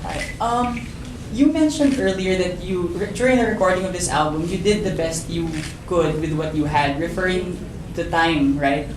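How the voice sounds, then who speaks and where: A man's voice: high voice, moderate pace, few pauses. Careful male reporter at a press event; distant mic in an echoey hall. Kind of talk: press conference questions. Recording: echoey distant room, noisy.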